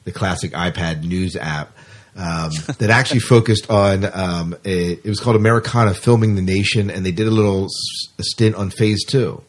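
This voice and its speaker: Low, husky male podcast host. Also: moderate pace. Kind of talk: casual chat, asking guests about sci-fi news.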